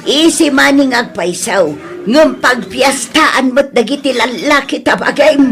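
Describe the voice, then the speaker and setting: A woman acting as a worried village mother in an Ilocano radio-style drama. Her voice sits a little high, with an Ilocano Filipino accent and a reproachful manner. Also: measured pace, a bit bright, faint music behind. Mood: upset, reproachful.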